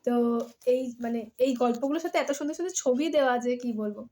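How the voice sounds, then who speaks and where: A woman's voice: a little high, brisk, warm tone. A woman storyteller. She speaks expressively, as if reading from a storybook, and chats casually with her audience. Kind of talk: expressive storybook narration and casual chat to viewers. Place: at home.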